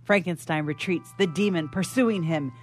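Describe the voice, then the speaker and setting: A narrator reading stage directions in a formal, measured, dramatic style for radio theatre. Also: medium pitch. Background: music.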